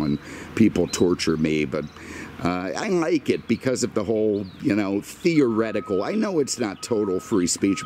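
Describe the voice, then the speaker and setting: A man's voice: low-pitched, a little mellow, slight background noise. A middle-aged American man giving a rambling, casual car walkaround with wry, self-deprecating, exasperated humor and mild swearing. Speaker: car review vlogger.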